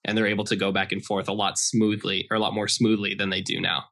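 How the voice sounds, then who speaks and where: A man's voice: on the low side, flat delivery, fast talker, gravelly, fairly bright tone. An American man explaining things casually as a guest in a conversational podcast interview, often saying "like". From Idaho, United States. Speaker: interview guest, software developer.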